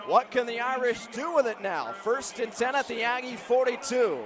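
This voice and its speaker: An American man calling live football play-by-play on the radio, describing each move and building to excited calls. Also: very high-pitched, normal speed, over music. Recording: clear stadium noise behind broadcast commentary. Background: outdoor stadium ambience.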